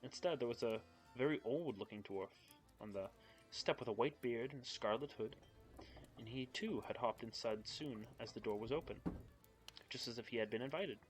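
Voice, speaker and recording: A man's voice: medium pitch, moderate pace, faint music behind, poor audio. Nervous, giggly young man reading aloud. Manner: giggly, nervous, chats with viewers between passages. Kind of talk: casual live-stream book reading. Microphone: home streaming mic.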